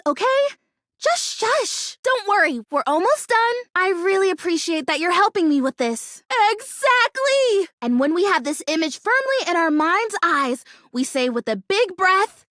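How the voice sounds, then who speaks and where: A woman's voice: high-pitched, no room sound, clean studio sound. A woman voicing an earnest, hopeful plastic plant girl who longs to be real, a video game character with a dramatic, childlike way of talking. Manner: earnest, dramatic, childlike phrasing and mild insults.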